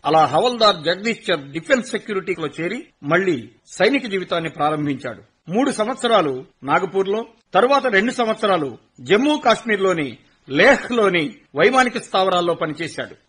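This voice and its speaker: A man narrating a radio play in a steady storytelling manner. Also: high voice, brisk, slightly rough.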